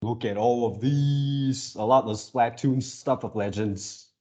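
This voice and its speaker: A man in his early twenties talking casually to viewers as a channel host, in a halting way, often repeating and rephrasing himself. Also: mid-range voice, darkish tone. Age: early 20s. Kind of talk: casual reaction video talk to viewers.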